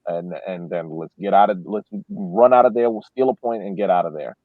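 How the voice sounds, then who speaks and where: A man's voice: deep voice, brisk, no echo. Thin, phone-like audio with no room sound carries a man's voice. He is a podcast panelist in casual sports chat, often doubling back on his words.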